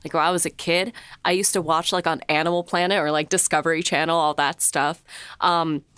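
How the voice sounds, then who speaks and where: Lowish-voiced woman chatting, dry recording. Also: steady tone, a little fast, fairly bright tone, clear, resonant tone, dry recording. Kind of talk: casual chat.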